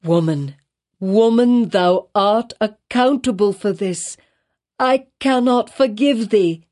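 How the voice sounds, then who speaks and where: A woman's voice: mid-range voice, lively intonation, slow pace, a little mellow, voice pushed up. A woman voicing an anguished male minister in a storybook, speaking with solemn grief in old-fashioned formal speech.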